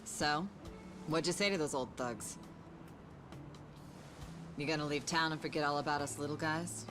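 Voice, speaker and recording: A woman playing a feisty, sharp-tongued, teasing friend in a video game cutscene. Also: sits low, normal speed, lightly acted. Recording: music behind the voice.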